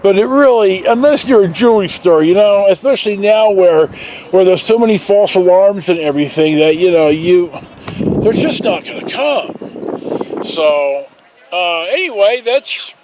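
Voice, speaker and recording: High-voiced man rambling through a story, traffic noise. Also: animated. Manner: rambling, halting, often repeating words and losing his thread. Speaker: storytelling vlogger, former alarm company guard. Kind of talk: casual anecdotal storytelling. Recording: clear outdoor noise, passing traffic.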